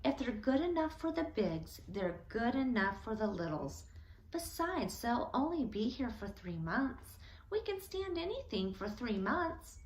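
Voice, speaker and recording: A patient, reassuring storybook father, read by a woman, explains things insistently. The pitch is high and lively, and the room is echoey. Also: unhurried. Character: small calm father, reasoning and reassuring.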